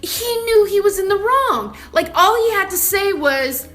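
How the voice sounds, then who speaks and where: A young woman vlogger telling a personal story in a casual, animated and emphatic, indignant way. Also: extremely high pitch, measured pace, soft background music.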